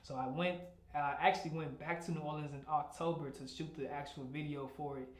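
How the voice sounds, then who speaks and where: A man's voice: a little high, fairly even intonation, fairly quick, reverberant. A young man from Nigeria, a rap artist, chatting casually and laid-back in an interview, often saying "you know what I'm saying.".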